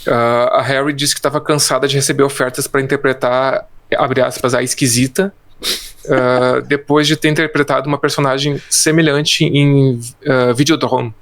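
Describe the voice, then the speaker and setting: A man's voice: medium pitch, little pitch movement, normal speed, a bit bright. A man co-hosting a casual film podcast, reading trivia and quotes from his notes with hesitant restarts. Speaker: podcast co-host.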